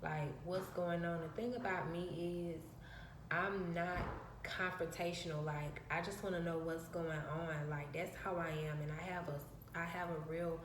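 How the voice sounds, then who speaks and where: A woman with a soft, reserved, slightly low voice tells a long personal story straight to her phone. She rambles and repeats herself as she goes. The room rings with echo, and noise sits behind her. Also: fairly even intonation, unhurried. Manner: rambling, repeats phrases, slips into quoted dialogue. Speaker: vlogger. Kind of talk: casual storytelling to camera.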